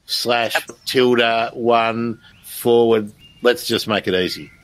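A man's voice: mid-range voice, fairly even intonation, slow. Chatty man hosting. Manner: chatty, self-deprecating. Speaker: live stream host. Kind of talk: casual chat.

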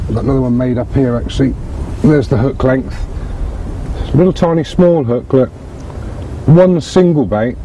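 A man in his forties explains a fishing setup in a steady, practical way while seated on a grassy bank. His voice is warm and mellow, picked up from a little way off. A faint outdoor hum sits behind him. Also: mid-range voice. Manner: steady explanatory, talks through hands-on steps. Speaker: host presenting fishing tactics. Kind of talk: outdoor instructional demonstration. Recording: distant outdoor mic with faint background noise.

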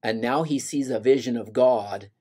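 A man's voice: medium pitch, normal speed, warm tone, breathy edge. An older man teaching a scripture lesson from a home office on a webcam, earnest and emphatic as he quotes verses. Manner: earnest, voices scripture lines with rising emphasis. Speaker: gospel study teacher. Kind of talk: scripture lesson over slides.

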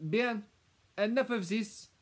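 Storybook villainess: imperious Frenchwoman acted by a man, raised pitch, French accent, snappish and condescending. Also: sing-song, normal speed. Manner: haughty, curt, cutting. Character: imperious, impatient French housekeeper guardian woman.

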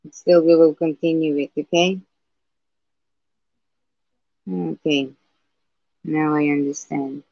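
A woman with a husky voice hosting a live painting tutorial, talking slowly and hesitantly with many fillers and restarts. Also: low voice, steady tone, dry recording. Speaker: art livestream host. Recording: dull lo-fi livestream audio.

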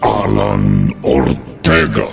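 Horror radio narrator, Filipino male, ominous and theatrical, extremely slow, over prominent music in a long reverb. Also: extremely low pitch, sing-song, creaky. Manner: ominous, drawn-out delivery. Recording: heavy echo and long reverb.

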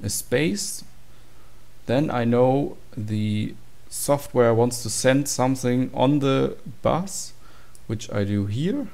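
A man's voice: medium pitch, dark tone. A man giving an informal, matter-of-fact tutorial walkthrough of program code. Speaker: tutorial presenter.

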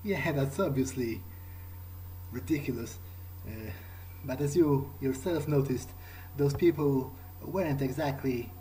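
Mellow guy doing in-character let's play, asking stuff haltingly, medium pitch, bit of noise. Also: poor audio. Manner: hesitant, conversational, questioning. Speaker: let's player roleplaying in character. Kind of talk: improvised in-character game roleplay.

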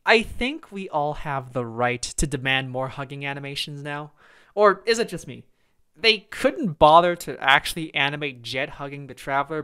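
A man's voice: a little high, fairly bright tone, smooth. A man hosting a video game review, talking in a casual, opinionated way with conversational, emphatic phrasing.

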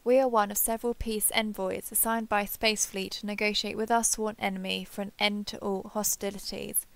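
A woman performs a female alien starship captain in a sci-fi audio roleplay. Her voice sits at a medium pitch with plenty of fry, recorded very dry. She is earnest and sentimental, speaking as if to someone beside her. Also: level tone, moderate pace, dry recording, lightly acted. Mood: reflective. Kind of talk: roleplay audio drama, one-sided dialogue.

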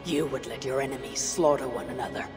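A grave Viking leader. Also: female voice, very deep voice, in a large hall, music in the background. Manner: measured, grave. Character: stern Viking woman leader, honor-bound.

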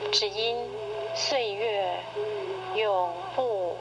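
A middle-aged woman reading a personal essay in radio drama style, with measured, literary, expressive delivery. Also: mid-range voice. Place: played back from a cassette recorder speaker. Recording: noisy cassette tape played through a recorder.

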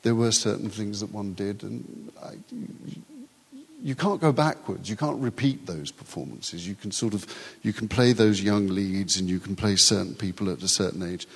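A man's voice: on the low side, animated, creaky, breathy edge, big-room sound. An older male actor whose first language is Urdu, talking reflectively in a live stage interview and often restarting his sentences. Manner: reflective, halting with many restarts. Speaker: actor guest.

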